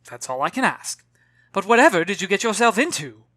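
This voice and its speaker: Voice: medium pitch, big pitch swings, a little fast, fairly bright tone, no echo, pitched above the performer's own voice. A worried storybook mother, as a narrator acts her out, fussing and emphatic. She scolds with exasperation in a raised voice, recorded very dry and close. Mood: softened fussing.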